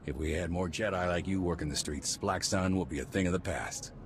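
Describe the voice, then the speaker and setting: Man as a deep-voiced, earnest security officer. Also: a little fast, noisy background, close to an everyday voice. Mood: determined. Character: earnest, determined male city security officer. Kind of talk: scripted video game dialogue.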